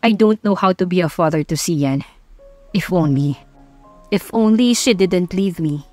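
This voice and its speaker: A woman's voice: lowish voice, animated, unhurried, darkish tone. A woman with a Filipino accent playing a troubled, moody young single father in an audiobook story. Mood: sorrowful. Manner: moody, mixes English and Tagalog. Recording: background music under voice.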